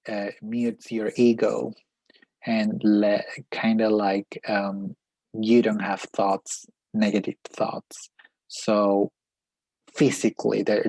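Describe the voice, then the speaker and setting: A man's voice: lowish voice, a little mellow, dry recording, rough recording. A man with a Peruvian Spanish accent, a native Spanish speaker, sharing personal reflections in a spiritual vlog and searching for his words as he goes. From Peru. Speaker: life coach and energy healer.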